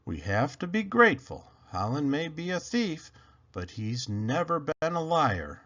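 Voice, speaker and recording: A man's voice: fairly high pitch, very animated, unhurried, a bit bright, full character voice. Earnest storybook mother, played by a man, lo-fi. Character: grateful, gently reasoning mother of a wayward son. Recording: dull lo-fi sound.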